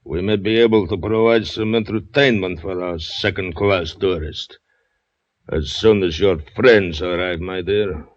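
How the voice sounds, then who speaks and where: An actor playing a menacing, sardonic criminal in a radio drama, mocking and theatrical, on a lo-fi recording. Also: male voice, sits low, a little slow. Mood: sly, mocking. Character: menacing, sardonic male criminal with a knife, bullying. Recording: dull, lo-fi old radio recording.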